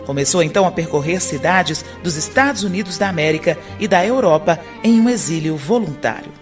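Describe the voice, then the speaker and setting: A woman narrating a radio drama in a steady, informative way. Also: lowish voice, brisk, over loud music.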